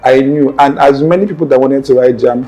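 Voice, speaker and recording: Earnest young Nigerian man, mellow voice. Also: mid-range voice, steady tone, brisk, faint music behind, low-quality recording. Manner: earnest, meandering, self-correcting. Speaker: interview guest. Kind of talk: personal storytelling in conversation.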